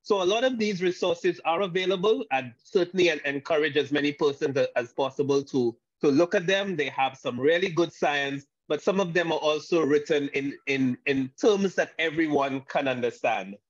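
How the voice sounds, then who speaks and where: A man from Saint Lucia gives a panel talk over a video call, halting, with frequent restarts and fillers. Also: fairly high pitch, no echo. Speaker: guest speaker. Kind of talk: online panel presentation. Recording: dull lo-fi video call audio.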